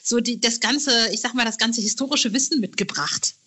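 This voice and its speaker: A woman's voice: fairly high pitch, moderate pace, bright, forceful tone, slightly rough. A middle-aged woman from East Westphalia in Germany hosting a casual interview in a gushing, colloquial, exclamatory way. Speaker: podcast host.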